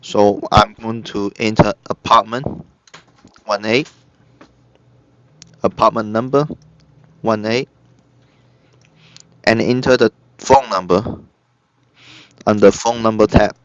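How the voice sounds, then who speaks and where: Low-voiced man, halting software tutorial, dull audio. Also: steady tone, no echo. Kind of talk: step-by-step instructional narration. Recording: dull lo-fi computer recording. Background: screen-recorded tutorial voice-over.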